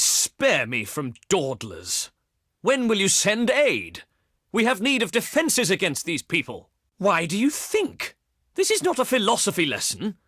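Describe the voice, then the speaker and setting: Haughty pious greek diplomat for a video game, theatrical and formal, swooping delivery, very dry close sound. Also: male voice, sits high, bright, forceful tone, no room sound, exaggerated voice. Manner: formal, oratorical, pompous. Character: proud, pious ancient Greek male diplomat, eloquent and haughty. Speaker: game character voice actor. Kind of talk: theatrical scripted game dialogue.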